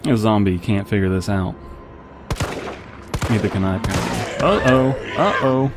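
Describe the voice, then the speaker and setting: A man's voice: lowish voice, normal speed, background noise. A man giving casual, rambling commentary as he plays a video game, with wry joking asides. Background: game music and gunfire.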